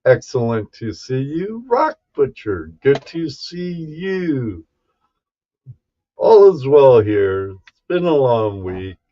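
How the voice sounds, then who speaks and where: A man hosting a casual livestream, greeting viewers one by one in a folksy, halting way. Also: medium pitch, very animated, warm tone. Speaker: livestream host. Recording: dull, lo-fi livestream audio.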